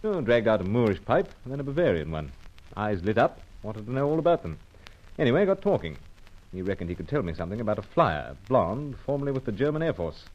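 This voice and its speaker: An actor playing a suave, unflappable secret agent, clipped understatement and dry wit, in old-time radio adventure style. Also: male voice, on the low side, big pitch swings, fast, darkish tone. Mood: brisk, matter-of-fact. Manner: clipped, telegraphic, nonchalant understatement. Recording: dull, muffled old radio recording.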